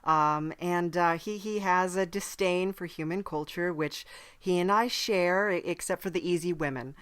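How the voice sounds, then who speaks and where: A woman playing a non-human podcast host from hell, chatting casually in a halting, dryly polite way. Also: lowish voice, a bit bright, clean tone, close to an everyday voice. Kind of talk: casual in-character interview chat.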